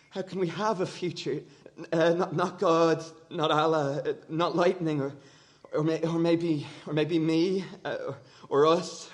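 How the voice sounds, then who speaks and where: Man playing a high-voiced, stammering teenage boy. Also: a little mellow. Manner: hesitant, stammering, pleading. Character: naive, frightened seventeen-year-old boy held for questioning. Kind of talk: radio play acting.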